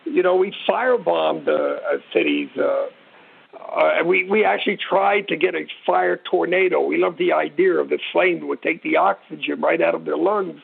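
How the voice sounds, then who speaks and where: Older American man, higher-pitched, rough husky voice, blunt emphatic explainer, informal interview. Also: normal speed. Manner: blunt, emphatic storyteller with short repeated phrases. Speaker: military expert guest, retired sergeant major. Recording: tinny narrow phone-line radio sound.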